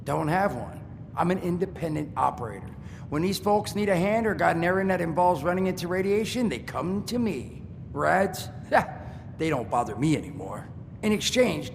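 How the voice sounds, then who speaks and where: An actor playing a wisecracking male ghoul trader in a video game, talking in a joking, streetwise drawl with a gravelly voice. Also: a little high. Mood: easygoing. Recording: game audio with long reverb and noise.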